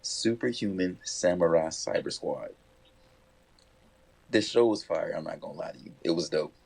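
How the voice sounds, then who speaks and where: A man in his thirties, a podcast co-host, chatting casually and telling stories with excited slang. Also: low voice, normal speed, vocal fry, breathy edge, rough recording. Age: 30s. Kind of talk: casual chat.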